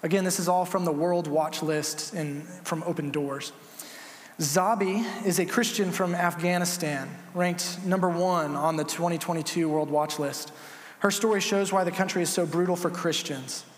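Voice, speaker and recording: A man's voice: on the high side, fairly even intonation, normal speed. A man preaching a sermon as pastor, earnest and conversational with some fillers. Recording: echoey hall with long reverb.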